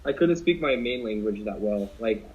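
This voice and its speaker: A man's voice: fairly low pitch, lively intonation, moderate pace, crowd noise. A young man casually telling a story to friends on a podcast, rambling with many fillers and restarts. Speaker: podcast co-host. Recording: dull lo-fi podcast audio.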